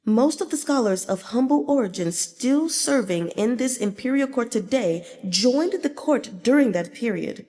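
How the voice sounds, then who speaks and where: A woman's voice: on the high side, expressive, moderate pace, few pauses, mellow. A woman reading a novel aloud in a dramatic audiobook style, with a long reverberant echo on the recording. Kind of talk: dramatic audiobook narration with acted dialogue.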